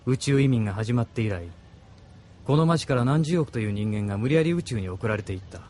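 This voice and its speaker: A man's voice: medium pitch, animated, fairly quick, a little mellow, natural voice. A man playing a cynical, commanding military officer in a Japanese audio drama, speaking in a world-weary, dramatic way. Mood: dark, ominous. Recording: noisy street and vehicle ambience behind.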